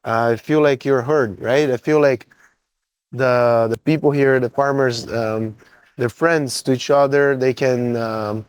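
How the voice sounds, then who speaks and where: A man's voice: mid-range voice, fairly even intonation, measured pace, smooth, no room sound. A man from Brazil with a Brazilian accent, a coffee importer answering interview questions earnestly and often checking in with "right?".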